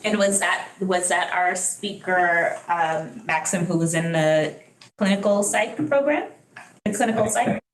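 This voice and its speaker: A woman's voice: on the low side, a little slow. Woman moderator in an echoey room. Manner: polite, hesitant. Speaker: panel moderator.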